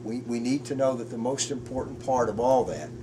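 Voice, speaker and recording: A man in his fifties muses earnestly on a front porch, stopping and restarting as he gathers his thoughts. He sits some way from the mic, and steady outdoor noise runs behind his voice. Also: medium pitch. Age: 50s. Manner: earnest, halting, with frequent restarts. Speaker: porch conversation guest. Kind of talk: casual reflective chat. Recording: distant mic with background noise.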